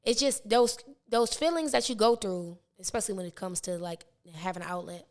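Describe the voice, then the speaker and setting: A raspy-voiced woman in her twenties, a rapper talking as a podcast guest, rambling through her story with many fillers and restarts. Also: mid-range voice, fairly even intonation, a little fast, darkish tone. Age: 20s. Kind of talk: casual interview chat.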